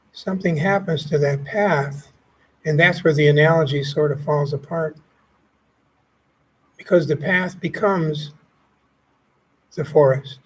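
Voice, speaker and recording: A man leading a guided meditation as a teacher, speaking calmly and gently in short phrases with pauses. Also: fairly high pitch, a little hiss or hum, poor audio. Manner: calm, gently instructive, pausing between short phrases.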